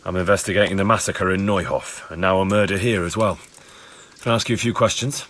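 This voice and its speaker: A man's voice: deep voice, fairly even intonation, fast, fairly bright tone. An actor playing a young medieval man investigating a crime in a video game, plain-spoken and inquiring. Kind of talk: video game dialogue acting.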